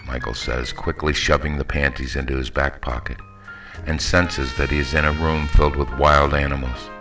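A man with an extremely low voice narrates literary fiction in the manner of an audiobook. The reading is scripted prose, and music plays clearly behind the voice. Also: fairly even intonation, moderate pace.

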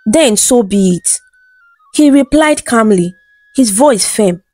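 A woman with a fairly high voice tells a folktale from a script, measured and dramatic in her delivery. The recording is dry and close, with soft music behind her. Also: animated, no echo. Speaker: storyteller.

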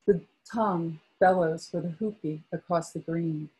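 A woman poet reads aloud in a slow, soft-spoken way, chatting casually between poems. Her voice is dark and mellow, a little husky, and sits slightly low. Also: low-quality recording. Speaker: poet at a book launch. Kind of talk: poetry reading with casual asides.

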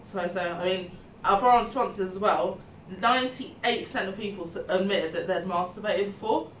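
A woman's voice: mid-range voice, normal speed, a bit bright. A woman in her twenties hosting a panel podcast in a semi-scripted, conversational way. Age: 20s. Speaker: podcast host, student union welfare officer. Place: meeting room indoors. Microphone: table microphone, a little distant. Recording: echoey room, distant mic, background noise.

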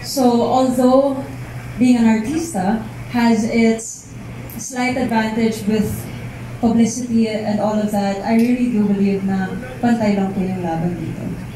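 A woman's voice: a little high, steady tone, measured pace. Earnest young woman in an echoey hall. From United States. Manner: poised, earnest, mixes in Filipino words. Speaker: pageant candidate. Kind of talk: press Q&A answers. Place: large event hall indoors. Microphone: handheld mic. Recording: echoey hall, hum of air conditioning.

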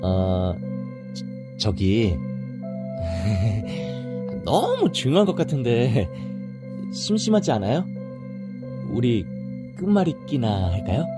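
A man's voice: lowish voice, huge pitch swings, slow, long pauses, loud music behind the voice, exaggerated voice. An actor playing a timid, polite young man who talks hesitantly and apologizes in an audio drama.

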